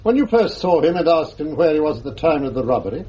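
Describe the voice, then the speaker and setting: An actor playing a haughty, sceptical English judge in a radio courtroom drama, pompous and drily sarcastic. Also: male voice, fairly high pitch, very animated, quick pace, dark tone. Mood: stern. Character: haughty, sceptical male judge presiding over a trial. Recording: dull, noisy archive radio recording.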